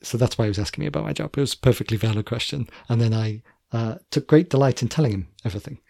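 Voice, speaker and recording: Gravelly-voiced man chatting casually. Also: medium pitch, racing, warm tone, no echo, clean studio sound. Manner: chatty, self-correcting, repeats words. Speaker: podcast co-host. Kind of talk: casual chat.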